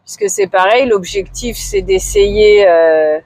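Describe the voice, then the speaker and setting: Woman in her forties, vlogger, slow unhurried talk, hesitant, many fillers, subdued. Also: darkish tone. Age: 40s. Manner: low-key, somewhat discouraged. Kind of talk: casual chat to camera.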